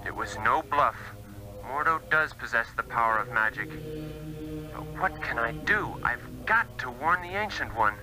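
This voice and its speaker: An actor playing a skeptical, earnest doctor in a radio drama, speaking dramatically in short phrases. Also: male voice, medium pitch, expressive, moderate pace, over music, natural voice, low-quality recording. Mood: desperate, bitter. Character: skeptical, earnest Western male doctor turned seeker. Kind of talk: 1960s-era radio drama acting.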